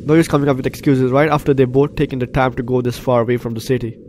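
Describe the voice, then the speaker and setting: A man hosting a gameplay video, reading game text aloud and breaking in with expressive reactions. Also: medium pitch, fast, noisy background. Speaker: gaming video host.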